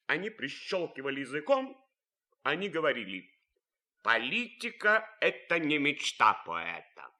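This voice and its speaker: An actor playing a disillusioned, anguished army officer in a radio drama, grave and earnest, speaking haltingly with weighty pauses. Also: male voice, fairly high pitch, wildly swooping pitch, sharp tone, full character voice. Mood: bitter, anguished. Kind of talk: old Russian radio drama acting.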